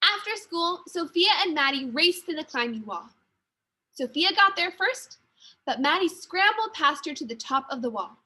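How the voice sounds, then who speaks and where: A woman teacher reading a children's story aloud with expressive, deliberate narration. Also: very high-pitched.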